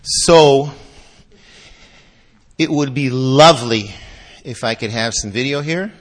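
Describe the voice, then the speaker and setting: A man's voice: mid-range voice, slow pace. Chatty, joking man addressing a crowd. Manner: chatty, jokey and restless. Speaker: guest speaker, engineer. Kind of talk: casual lecture to an audience.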